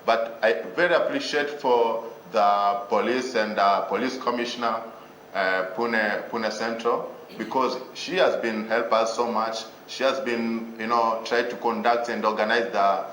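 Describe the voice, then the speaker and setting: A young man from Tanzania, a guest student, answering in a panel interview in a halting way, often repeating words. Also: on the low side, fairly even intonation, a bit bright, slight background noise. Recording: roomy echo.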